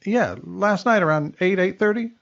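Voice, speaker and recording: A man's voice: high voice, moderate pace, vocal fry, a bit bright, lo-fi sound. An actor playing a wisecracking male medical examiner with dry punchline delivery in a comedic radio drama. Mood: deadpan.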